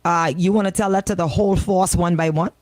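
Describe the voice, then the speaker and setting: A woman with a fairly low voice and a Caribbean accent speaks as a talk radio host. Her delivery is conversational, blunt and sardonic. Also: fairly even intonation, a little fast, a little mellow. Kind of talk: casual talk radio discussion.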